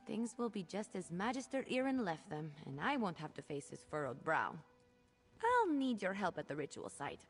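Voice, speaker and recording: Video game companion: eager young female mage, cheeky, animated delivery, music underneath. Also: fairly low pitch, moderate pace. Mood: upbeat, explaining. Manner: playful, expressive, chatty. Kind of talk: video game dialogue acting.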